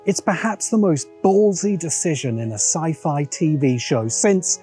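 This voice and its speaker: A man hosting a video essay, reading scripted narration in a measured, emphatic way with dramatic pauses. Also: fairly high pitch, expressive, warm tone, soft background music.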